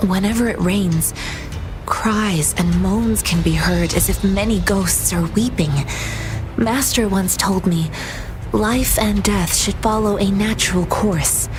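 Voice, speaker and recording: A woman playing a gentle, earnest young spiritual disciple in a game, speaking in a polite, solemn, measured way. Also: medium pitch, lightly acted, rough recording. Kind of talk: scripted game dialogue. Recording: prominent background music.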